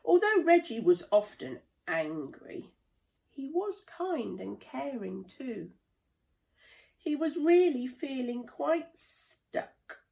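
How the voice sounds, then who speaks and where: A woman reading a children's storybook aloud in a deliberate, dramatic storytelling manner. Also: high voice, sing-song, creaky, a little mellow. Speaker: play therapist reading a children's book. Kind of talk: expressive children's storybook narration.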